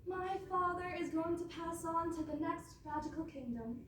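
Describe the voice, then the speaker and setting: A woman plays a scheming, villainous princess with a high voice. She is commanding and melodramatic, in broad stage-comedy style. The pickup is distant and clearly noisy. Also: moderate pace. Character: scheming, villainous Cinderella, dramatic young princess. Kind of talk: comic stage play acting. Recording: echoey room, distant mic, noisy.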